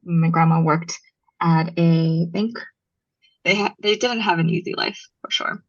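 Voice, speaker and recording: A young woman from Los Angeles talks as a podcast guest in a loose, chatty way. Her voice sits on the low side and is filled with ums and likes. The recording sounds dull and muffled. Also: unhurried. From Los Angeles, USA. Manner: storytelling, frequent ums and likes, laughs easily. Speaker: podcast guest, brand founder. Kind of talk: casual interview chat.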